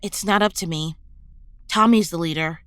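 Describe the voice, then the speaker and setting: A woman's voice: lowish voice, normal speed, a bit bright, clear, resonant tone, dry recording, close to an everyday voice. Woman acting a supportive young superhero, dry sound. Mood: sincere. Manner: casual, sincere, reassuring. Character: supportive, teasing college-age superhero woman. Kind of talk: superhero audio drama acting.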